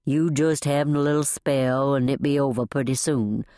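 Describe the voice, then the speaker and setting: A woman's voice: very deep voice, fairly even intonation, normal speed, dark tone, no room sound, studio quality. A woman voicing a motherly Southern housekeeper in an audiobook, folksy and coaxing, with a Southern US accent. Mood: soothing, coaxing.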